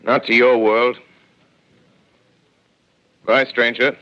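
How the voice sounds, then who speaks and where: An actor playing a grave, educated survivor in a 1930s radio drama, measured, articulate and solemn. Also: male voice, mid-range voice, flat delivery. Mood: cool, resolute. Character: grave, educated male survivor recounting an alien invasion. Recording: muffled vintage 1930s radio broadcast.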